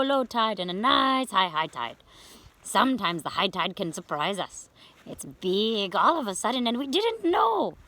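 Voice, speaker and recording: A woman voicing a gentle, excitable shell-dwelling sea creature who teaches young children, repeating phrases and coaxing them with questions. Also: a little high, full character voice. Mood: enthusiastic, encouraging. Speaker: host of a children's educational segment. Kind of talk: children's science talk.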